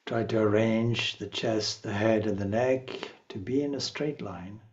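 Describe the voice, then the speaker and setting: A man leading a guided meditation as a spiritual teacher, speaking calmly and in a measured way with long pauses. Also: lowish voice, muffled recording.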